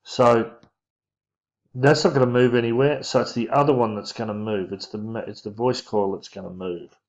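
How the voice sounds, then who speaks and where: A man giving an informal physics lesson, talking through diagrams in a casual, halting way as he thinks aloud. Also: medium pitch, fairly even intonation, slight background noise. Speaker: physics teacher. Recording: dull lo-fi microphone sound.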